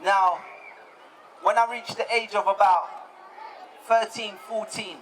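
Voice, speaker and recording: A young man raised in the United Kingdom, giving a relaxed personal testimony talk to an audience, often repeating words as he goes. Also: high voice, takes it slowly, some chatter behind, slight background noise.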